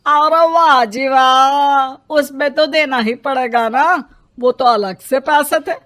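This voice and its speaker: A woman's voice: high voice, steady tone, slow pace, fairly bright tone. A woman voicing a greedy, nagging older mother-in-law in a storybook tale, scornful and sarcastic. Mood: scornful, demanding.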